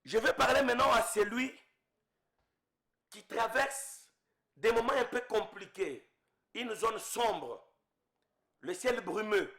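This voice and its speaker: A man's voice: high-pitched, slow, pauses often, fairly bright tone. Fervent Congolese man praying aloud. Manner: fervent, repeating invocations, voice rising and falling in waves. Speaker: preacher. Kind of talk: intense spoken prayer.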